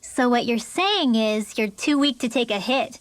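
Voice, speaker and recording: High-voiced, pert teenage girl. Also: animated, unhurried, no echo. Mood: needling. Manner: pert, needling. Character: spirited, nosy, teasing teenage girl adventurer. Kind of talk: video game voice acting. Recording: studio voice over game music.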